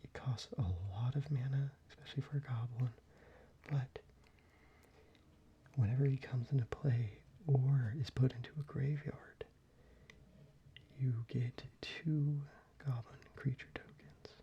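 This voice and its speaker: An ASMR creator whispering softly and closely, with frequent ums and restarts while showing their collection. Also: mid-range voice, fairly even intonation, a little slow, long pauses, husky, dull audio.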